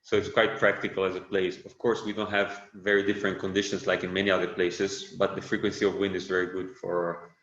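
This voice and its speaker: A man with an Italian accent, Italian by birth, being interviewed on a podcast and talking in a relaxed, unhurried way with many fillers and self-corrections. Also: low voice. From Italy. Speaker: guest, windsurf brand founder. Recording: roomy, slightly dull sound.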